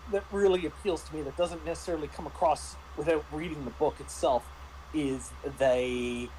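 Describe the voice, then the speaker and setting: A man who works as a game master, giving a casual roundtable review in a thoughtful, halting way. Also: fairly high pitch, a little mellow, a little hiss or hum, poor audio.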